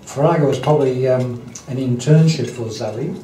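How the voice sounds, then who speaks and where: A man hosting a lunch event, giving a halting formal introduction full of ums and throat clearing. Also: medium pitch, mellow, a little hiss or hum. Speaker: event host introducing a speaker. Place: dining hall at a lunch event. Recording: roomy hall, distant mic, clattering dishes.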